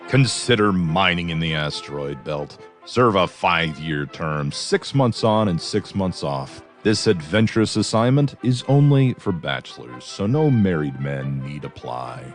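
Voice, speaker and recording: A man's voice: low voice, sing-song, measured pace, few pauses. A man reading a scripted recruitment advert as a promotional announcer. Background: faint music.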